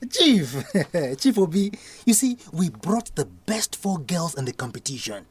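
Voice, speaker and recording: A man's voice: a little high, sing-song, a little slow, slightly rough, full character voice. An actor playing a brash, money-loving young Nigerian student politician in a radio drama, pushy in his delivery. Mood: ingratiating.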